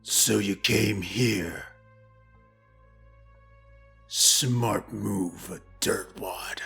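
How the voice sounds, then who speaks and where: A man voicing a bitter, impatient mob gangster in a comic dub, with a rough voice and menacing, sarcastic delivery. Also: on the low side, extremely slow, sharp tone, heavily acted. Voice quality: rough, gravelly, tense. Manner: menacing, sarcastic tough-guy talk. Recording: music behind the voice.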